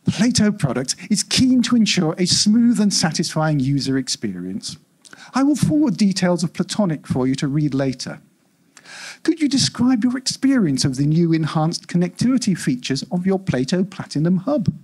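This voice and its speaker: A man reading as a corporate helpdesk AI, polite, implacable, scripted patter, slightly husky, animated intonation, live story reading. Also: sits high, normal speed, exaggerated voice. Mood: polite, insistent.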